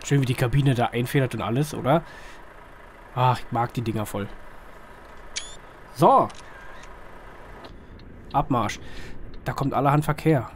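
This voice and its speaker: A man with a slightly hoarse, mid-range voice chats his way through a driving game. He rambles colloquially and puts small questions to himself. Vehicle engine noise runs behind him. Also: medium pitch, unhurried, long pauses. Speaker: gaming video host. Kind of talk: casual chatty driving-game commentary. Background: truck simulator engine sound.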